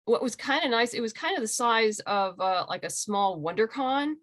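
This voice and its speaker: A woman's voice: on the high side, expressive, moderate pace, a bit bright, dry recording, clean studio sound. A woman talking casually and emphatically as an interview guest on a video call, with an enthusiastic manner. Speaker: interview guest, comic book writer and creator. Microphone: video call microphone.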